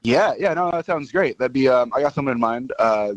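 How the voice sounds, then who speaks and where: A man's voice: medium pitch, lively intonation, a little fast, dry recording. An American man talking casually and somewhat hesitantly on a phone call to a radio show.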